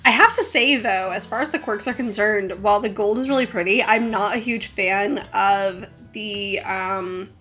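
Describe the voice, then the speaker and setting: A woman co-hosting a casual bourbon tasting chat, a little nasal from a cold, chatty and quick to laugh. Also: mid-range voice, sharp tone, faint music behind. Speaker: co-host of a bourbon show.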